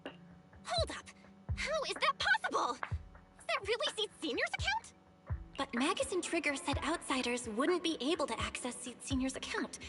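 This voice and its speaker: An actress playing an earnest, excitable young female helper in an anime video game. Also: very high voice, moderate pace, over music. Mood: startled.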